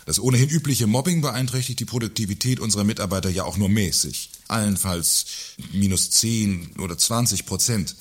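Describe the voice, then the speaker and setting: A man acting a gruff, sarcastic, pompous middle-aged company director in a satirical radio play. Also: on the low side, fairly quick.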